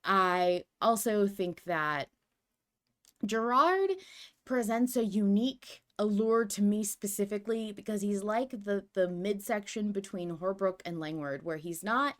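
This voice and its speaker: A woman hosting a podcast in casual discussion, talking in an animated, emphatic and rambling way. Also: mid-range voice, a little slow. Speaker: podcast host.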